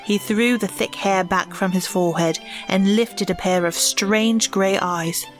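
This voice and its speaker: A woman narrating an audio drama in a measured, expressive way. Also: mid-range voice, soft background music.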